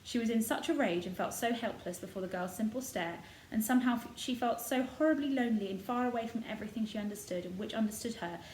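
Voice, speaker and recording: A woman's voice: on the high side, slight background noise. A woman reading a storybook aloud to children, with simple explanatory asides. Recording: echoey room.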